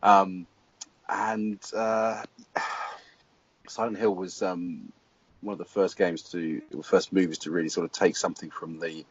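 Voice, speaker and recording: British male guest critic, deep, husky, dry lo-fi sound. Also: fairly even intonation, unhurried, pauses often, dry recording. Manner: dry, wry judgments. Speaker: guest film blogger. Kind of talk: casual opinion chat. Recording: dry lo-fi audio.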